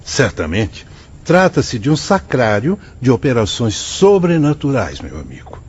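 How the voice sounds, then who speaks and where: An actor playing a wise, patient priest and mentor in a Brazilian radio drama, husky, solemn and didactic, with a Brazilian accent. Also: male voice, mid-range voice, wildly swooping pitch, measured pace, heavily acted. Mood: patient, explaining. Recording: dull, muffled studio audio.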